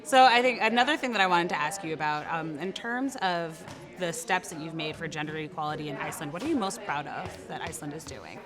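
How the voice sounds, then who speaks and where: A young woman interviewer in a bright studio room, chatty and affirming, with frequent fillers. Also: low-pitched, expressive, fast. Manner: chatty, affirming, lots of "like" and "right". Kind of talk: casual interview chat. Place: bright studio room with windows, people moving behind. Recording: crowd chatter in background.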